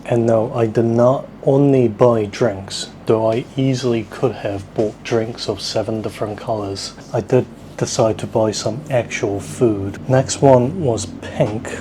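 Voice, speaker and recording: A man's voice: mid-range voice, unhurried. A man hosting a casual food-tasting vlog, rambling and thinking aloud in a self-deprecating way. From South Korea, at least partly. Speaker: vlog host. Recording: clearly noisy ambient background. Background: ambient noise.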